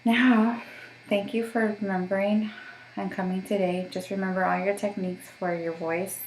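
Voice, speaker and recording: A woman's voice: mid-range voice, fairly even intonation, normal speed, roomy sound, slight background noise, low-quality recording. A woman working as a voice therapist, giving patient step-by-step instructions for voice exercises and checking in often. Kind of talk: guided voice therapy session.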